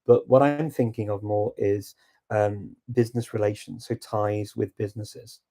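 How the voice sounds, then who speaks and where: A man hosting a casual live Q&A, answering viewer questions with relaxed, reflective advice. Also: on the low side, normal speed.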